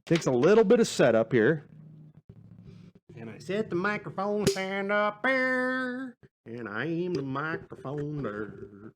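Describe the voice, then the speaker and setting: A man in his forties chats casually as a podcast host, with a slightly high voice that moves up and down a lot. He rambles in a laid-back, self-deprecating way, drifting from one thought to the next. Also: big pitch swings, takes it slowly. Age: 40s.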